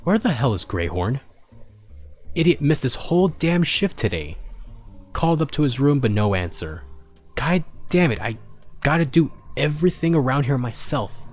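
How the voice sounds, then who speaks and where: A man's voice: mid-range voice, sing-song, moderate pace, pitched above the performer's own voice. A man voicing a grumpy, sarcastic pony maintenance supervisor who dictates log entries in a story. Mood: grumpy, irritated. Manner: exasperated, sarcastic, swearing. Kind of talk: dramatic storybook character reading. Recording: music behind the voice.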